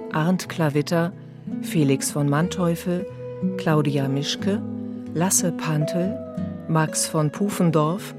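A woman reading the closing credits of a radio play as a scripted announcement. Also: deep voice. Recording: music behind the voice.

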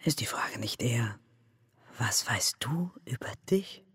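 An actress in a German radio drama playing the taunting, insinuating inner voice of an anxious wife. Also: female voice, a bass voice, lively intonation, unhurried, a bit bright, husky, no echo, clean studio sound, heavily acted. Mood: whispering, insinuating. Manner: insinuating, needling.

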